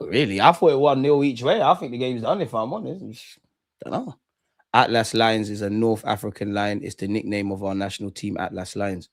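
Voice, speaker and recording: A young man with a London accent hosting a football watch-along, chatting casually and excitably in slang with his viewers. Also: medium pitch. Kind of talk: casual live reaction commentary and chat with viewers.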